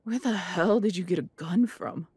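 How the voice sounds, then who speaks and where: A woman's voice: fairly low pitch, moderate pace, darkish tone, breathy edge, no echo, clean studio sound. A woman playing an anxious, foul-mouthed, fiercely loyal woman in an audio drama. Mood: urgent, worried. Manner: urgent, sweary. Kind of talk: amateur audio roleplay drama.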